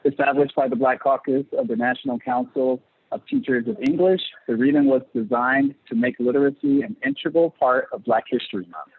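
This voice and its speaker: A husky-voiced man of medium pitch introduces an event from a script. He sounds thin and narrow, as if through a phone or video call. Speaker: event host, marketing manager.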